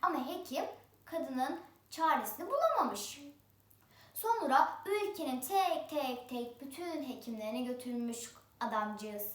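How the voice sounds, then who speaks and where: A female storyteller with a very high, youthful voice tells a folk tale. She is theatrical, stretching words for effect. The recording is roomy and echoing, picked up some way from the mic. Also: expressive, unhurried, distant mic. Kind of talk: oral folk-tale storytelling with rhyming opening. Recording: roomy echo, away from the mic.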